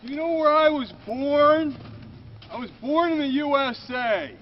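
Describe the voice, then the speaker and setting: A man's voice: very high-pitched, unhurried, dull audio, a little hiss or hum. A veteran man speaks in an indignant, emphatic way. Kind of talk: impassioned statement.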